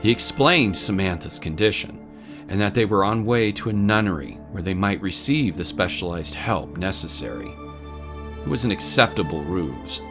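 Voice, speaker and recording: A man's voice: low-pitched, expressive, moderate pace, creaky, over music. A man delivering scripted, dramatic narration of a story.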